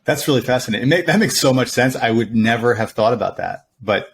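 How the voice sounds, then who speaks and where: A man's voice: mid-range voice, expressive, quick pace, breathy edge. A middle-aged man hosting an interview podcast, opening the show and asking questions in an upbeat, enthusiastic way. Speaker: podcast host and company CEO.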